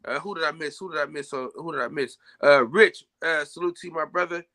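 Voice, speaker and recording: A young man hosting a casual live-stream chat, loudly shouting out viewers by name. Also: fairly high pitch, brisk. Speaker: podcast host.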